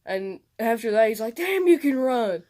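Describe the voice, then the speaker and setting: A young man recounting an experience casually and excitably in colloquial speech. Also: extremely high pitch, normal speed. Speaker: co-host telling a personal story. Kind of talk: casual storytelling chat.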